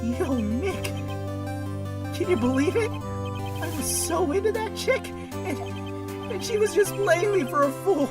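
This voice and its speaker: A man's voice: very high-pitched, animated, a little slow, pauses often, far from the mic, over loud music. A man playing a whiny, melodramatic, lovesick young man in an amateur video game fandub. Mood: dejected.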